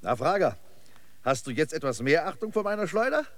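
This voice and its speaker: A man's voice: fairly high pitch, big pitch swings, fairly quick. An actor in a German radio drama playing a cynical, seasoned male guide who talks in a laconic, knowing way. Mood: sardonic, unruffled. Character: cynical, seasoned male guide, slingshot-proud street survivor. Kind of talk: German radio play acting.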